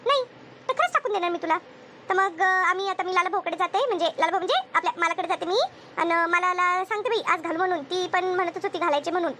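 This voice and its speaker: A woman's voice: extremely high pitch, fast, sharp tone, slight background noise. A woman voicing a chatty, bossy but caring village housewife in a cartoon comedy, with a rural Maharashtrian accent and a rambling, scolding manner. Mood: friendly, earnest.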